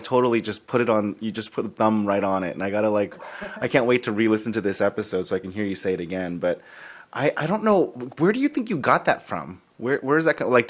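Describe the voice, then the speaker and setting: A man hosting a podcast interview, enthusiastic and admiring toward his guest. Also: on the low side. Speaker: podcast host. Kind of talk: casual interview talk.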